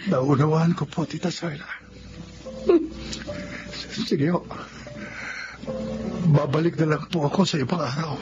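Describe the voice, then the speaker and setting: A man's voice: on the high side, steady tone, unhurried, pauses often, over music, close to an everyday voice. A man acting an earnest, emotional young boyfriend in a Filipino radio drama. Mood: anguished. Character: anguished young boyfriend, devoted and vengeful. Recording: muffled radio drama with background noise.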